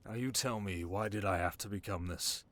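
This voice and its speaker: An actor playing a sharp, haunted young king in an intimate ASMR romance roleplay, talking wryly and dramatically to his listener. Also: on the low side. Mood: haunted, grave. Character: young desert king, sharp, wry, haunted, teasingly tender.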